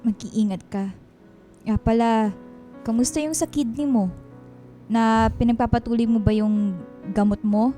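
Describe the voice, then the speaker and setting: A woman's voice: on the high side, steady tone, a little slow, long pauses, warm tone, lightly acted. A woman playing an estranged wife and mother, firm yet concerned, in a radio drama, with a Filipino accent. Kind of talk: Tagalog radio drama acting. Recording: music under the voice.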